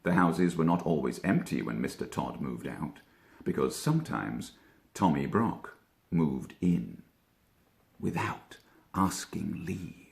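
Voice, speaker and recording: A man reading a children's story as an actor would, with theatrical, expressive and deliberately dramatic phrasing. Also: a bass voice, gravelly, echoey room.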